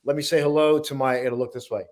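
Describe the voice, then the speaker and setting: A man co-hosting an inspirational talk show, speaking at an ordinary mid pitch. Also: fairly even intonation, very fast, a little mellow.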